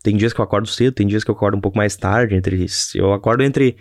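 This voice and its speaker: A man's voice: on the low side, fast talker, a little mellow, no echo, clean studio sound. A young man with a Rio Grande do Sul accent, hosting a rambling, casual solo podcast with many restarts and tag questions. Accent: Rio Grande do Sul Portuguese. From southern Brazil. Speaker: podcast host.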